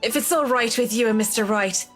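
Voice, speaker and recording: A guilt-ridden young woman from a horror game, played by an actress, with a fairly high voice. She is hesitant and apologetic, with faint music behind her. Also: brisk, close to an everyday voice. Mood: shaken, polite.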